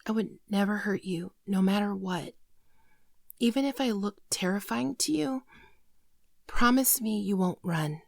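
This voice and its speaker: A woman playing a frightened, apologetic shapeshifting alien posing as a human girlfriend in an intimate ASMR roleplay, halting and tearfully pleading, often dropping to a whisper. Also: medium pitch, gravelly, darkish tone, dry recording, clean studio sound. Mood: nervous, confessing.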